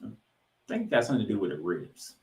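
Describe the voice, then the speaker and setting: Animated, emphatic male sports podcast host. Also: lowish voice. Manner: emphatic, dramatic pauses and repetition for effect. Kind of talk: football recap commentary.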